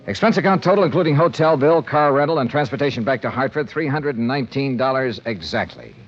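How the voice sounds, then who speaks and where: Man playing tough radio investigator, lively pitch. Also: quick pace, close to an everyday voice. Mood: grim, matter-of-fact. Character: brisk, tough male freelance insurance investigator. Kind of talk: 1950s radio drama acting, hardboiled narration. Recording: dull old radio transcription, music behind.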